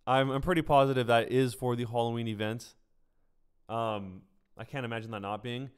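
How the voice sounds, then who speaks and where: A man's voice: medium pitch, steady tone, normal speed, clean tone. A man giving casual livestream gaming commentary, speaking conversationally and directly to his viewers. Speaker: streamer and gaming news host.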